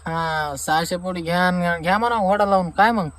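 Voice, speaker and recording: A man's voice: high-pitched, normal speed, a bit bright. A man playing an aggrieved, sarcastic farmer with a rural Maharashtrian Marathi accent, complaining in a comic phone-call skit. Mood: sarcastic, bitter. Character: aggrieved, sarcastic male farmer demanding refund.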